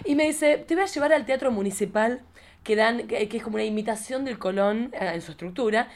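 A woman's voice: on the high side, a little fast. A woman in her forties with an Argentine Rioplatense accent, hosting a casual podcast chat and telling personal anecdotes in a playful, theatrical way. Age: 40s. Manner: playful, theatrical, expressive storytelling. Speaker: podcast host.

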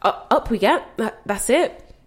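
A woman's voice: on the high side, expressive, unhurried. An actress playing a young woman who looks after her grandmother, strained but trying to stay soothing. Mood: gentle. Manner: strained and exasperated, then apologetic and soothing. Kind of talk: screen drama acting.

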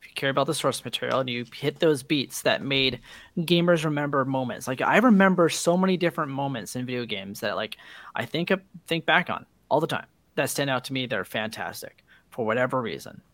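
A man co-hosting a podcast in relaxed, agreeable, casual conversation. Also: medium pitch, brisk, no room sound. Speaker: podcast co-host. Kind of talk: casual chat.

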